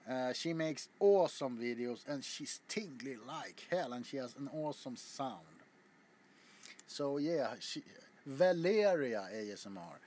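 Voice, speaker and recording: A man's voice: mid-range voice, expressive, a little slow, darkish tone. A man chatting casually to his viewers as a video host, rambling with frequent stammers, restarts and casual swearing. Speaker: ASMR YouTuber.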